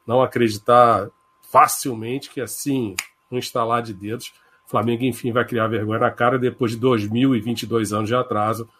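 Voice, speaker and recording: A man's voice: medium pitch, husky. Opinionated Brazilian man in his fifties. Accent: Brazilian Portuguese. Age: 50s. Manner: emphatic, opinionated, skeptical. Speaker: podcast co-host. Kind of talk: casual podcast chat.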